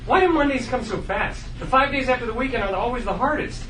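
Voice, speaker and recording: A man's voice: extremely high pitch, fast. A man playing an eager, earnest, wisecracking nerdy schoolboy at a comedy script table read. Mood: grumbling. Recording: very noisy echoey room from a distance.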